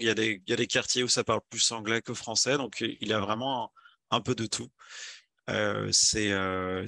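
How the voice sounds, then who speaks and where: A young man from France talks through his slides in a halting, casual way, pausing on fillers and restarting his sentences. His voice sits a little low but sounds bright and forward. The recording is dry and close, with no room sound. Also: no echo. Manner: hesitant, many fillers and restarts. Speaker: video game developer.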